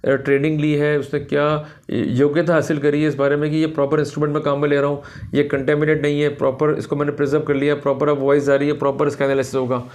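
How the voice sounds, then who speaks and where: An Indian man giving an explanatory legal lecture in a didactic manner, slowing down deliberately for quoted passages. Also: fairly high pitch, flat delivery, darkish tone. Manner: didactic, repeats filler phrases, slows down for English quotations. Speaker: law lecturer. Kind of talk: explanatory legal lecture to camera, Hindi mixed with English.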